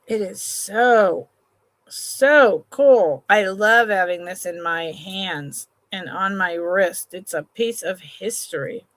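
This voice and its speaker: Slow, relaxed woman hosting a live sale. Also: medium pitch, dry recording. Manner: chatty, unhurried, trails off and restarts sentences; affectionate to viewers, calls them honey and sweetheart. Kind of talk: slow, relaxed live-stream sales chat.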